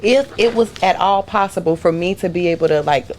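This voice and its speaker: Female guest, low voice, chatty and emphatic. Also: a little fast, a little mellow, a little hiss or hum. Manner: chatty, emphatic, talks in long runs. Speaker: podcast guest. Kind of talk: casual podcast chat.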